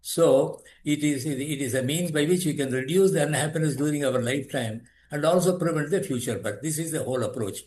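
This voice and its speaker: An older man from South India with a Tamil accent, a yoga teacher and author explaining philosophy in a measured way, often pausing to search for words. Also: fairly high pitch, darkish tone, slight background noise. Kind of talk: interview explanation.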